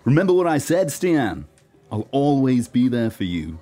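A man playing a laid-back, friendly British pop star who rambles haltingly and chummily in an amateur radio play. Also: medium pitch, sing-song, mellow, slight background noise, lightly acted. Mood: warm, reassuring.

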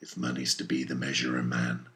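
A man voicing an obsessed, domineering old whaling captain in a storybook reading, gravelly, brooding and grandiose. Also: very deep voice, monotone, fairly quick, bright, forceful tone. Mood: brooding, coaxing. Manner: grandiose, archaic, commanding. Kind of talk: dramatic audiobook character reading.

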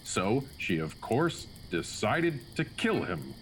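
A man's voice: on the low side, very animated, slow pace, slight background noise, full character voice. A man playing the narrator of a student radio comedy, telling the story in a dry way and wanting the spotlight. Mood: narrating. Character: smug narrator who wants the spotlight.

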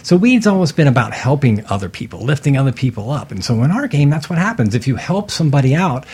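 A man's voice: medium pitch, very animated, quick pace, darkish tone, slightly rough. A man speaking as a guest on a radio talk show, loose and rambling with many fillers as he describes the board game he created. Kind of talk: casual radio interview.

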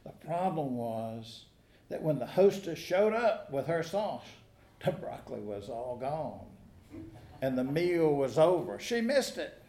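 A man preaching a sermon, reading a gospel passage and then telling stories in a measured, earnest way. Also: a little high, big pitch swings, mellow. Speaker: pastor. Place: church sanctuary. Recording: echoey church.

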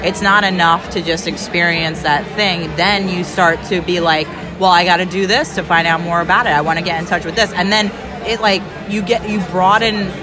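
Woman, 30s, podcast host. Rambling and jokey with a slightly low voice, recorded at a busy event with crowd and music. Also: steady tone, normal speed, few pauses. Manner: rambling, joking, many restarts. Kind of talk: casual chat.

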